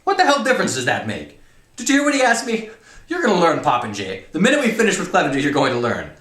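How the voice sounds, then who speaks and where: Storybook colonel, played by a man: loud exasperated bully, bright strained pitch, echoey room. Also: huge pitch swings, fast. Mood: enraged, threatening. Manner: shouting, exasperated, badgering interrogator. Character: furious, bullying, big military colonel barking at cadets.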